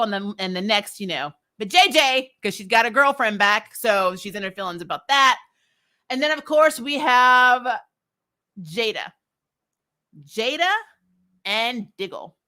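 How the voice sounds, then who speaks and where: Female recap vlogger, mid-pitched and bright, casual and opinionated, with a sarcastic, exasperated, slangy delivery. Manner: acts out characters' lines mockingly.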